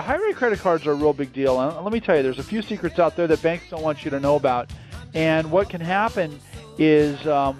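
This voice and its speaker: A man giving an emphatic, salesy pitch as a company founder on a promotional call, repeating phrases for effect. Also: darkish tone. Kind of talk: promotional interview on a conference call.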